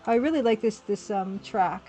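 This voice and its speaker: A woman's voice: mid-range voice, moderate pace, dark tone. A woman hosting an art livestream, chatting informally and thinking aloud as she works and replies to chat. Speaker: livestream host and pixel artist. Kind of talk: casual chat while drawing on a livestream. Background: music.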